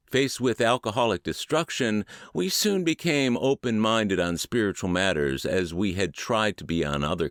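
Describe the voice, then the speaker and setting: A man reading scripted audiobook narration in a measured, earnest and expressive way. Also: sits low, dry recording, studio quality. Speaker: audiobook and podcast reader.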